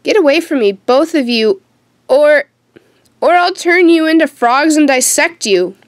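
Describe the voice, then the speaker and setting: An actress playing a defiant, sharp-tongued teenage witch in an audio horror drama, intense and dramatic. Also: high voice, animated, a little slow, dry recording, studio quality.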